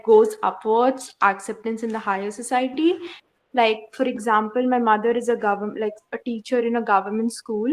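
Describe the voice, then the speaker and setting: A female student speaks up in an online class. Her voice is slightly high, and she talks fluently, often filling with "like". Her talk is casual and engaged. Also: low-quality recording.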